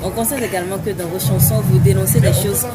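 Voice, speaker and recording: A field reporter asking quick, direct questions at a venue. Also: mid-range voice, fairly even intonation. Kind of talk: on-site interviewing. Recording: noisy venue with loud music and crowd.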